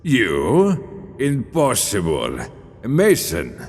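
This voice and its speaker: A man's voice: medium pitch, huge pitch swings, very slow, big-room sound, soft background music, voice pushed up, full character voice. A man voicing a drunken, boastful nobleman in a theatrical, exclaiming manner for a gothic audiobook. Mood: astonished scoffing. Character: drunken, boastful Italian nobleman, excitable and incredulous. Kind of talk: gothic audiobook character acting.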